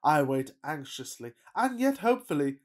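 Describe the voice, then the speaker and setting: A woman playing a pompous, amorous gentleman bachelor with florid, mock-solemn delivery in a radio-play farce. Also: sits low, big pitch swings, moderate pace. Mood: ardent wooing.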